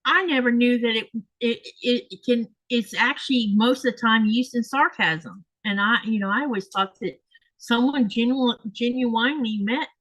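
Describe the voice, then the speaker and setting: This is a woman from Florida with a Southern accent, hosting a relaxed sewing chat at home. Her voice sits a little high, and she wanders through her thoughts with many ums and restarts. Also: normal speed, no echo, low-quality recording. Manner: rambling, self-correcting, frequent fillers. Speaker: host. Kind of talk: casual chat while sewing, reading comments. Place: home craft room.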